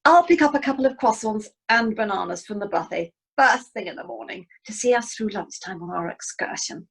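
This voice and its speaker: A woman's voice: mid-range voice, lively intonation, brisk, vocal fry, fairly bright tone, husky, full character voice, rough recording. A woman playing a snobbish, frugal English wife, genteel and disapproving, in an amateur radio comedy. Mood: genteel, fussy.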